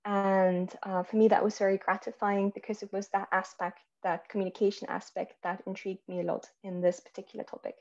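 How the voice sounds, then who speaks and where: A woman's voice: mid-range voice, little pitch movement, darkish tone. A woman from Austria, a guest journalist explaining her work in a panel over a video call. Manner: measured, explanatory, many ums. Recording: slightly muffled call audio.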